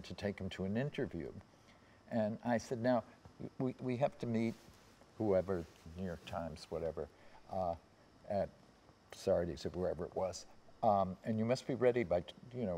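An American man in his seventies reminiscing in anecdotes on a panel, haltingly, often trailing off and circling back. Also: on the low side, mellow. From United States. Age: 70s. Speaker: panel guest recalling personal memories. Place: seated on a theatre stage.

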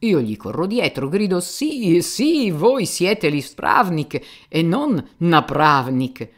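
A garrulous aging buffoon, as an audiobook reader puts him on, declaiming loudly with self-mocking pathos. He rambles from one anecdote to the next, his voice pushed a little higher than the narration and full of showy bluster. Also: unhurried, close to an everyday voice. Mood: theatrical. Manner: loud, theatrical, rambling storytelling. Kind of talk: audiobook character reading.

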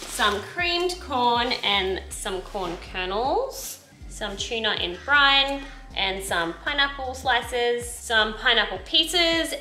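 A woman's voice: a little high, sharp tone. A young Australian woman chatting casually and rambling a little, filmed in her home kitchen by a camera on the counter. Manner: chatty, rambling with self-corrections. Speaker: vlogger. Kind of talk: casual grocery haul chat. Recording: echoey kitchen with background noise.

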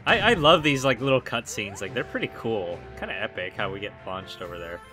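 A hoarse young man giving goofy, excitable commentary over his gameplay, full of ums and likes. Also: on the low side, a little fast. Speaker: let's play gamer. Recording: game music behind voice.

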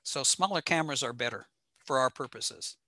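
A man's voice: medium pitch, brisk, bright, forceful tone, no room sound. A man presenting technical material informally with slides over a video call, in a plain-spoken, matter-of-fact manner. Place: indoor home room, over a video call.

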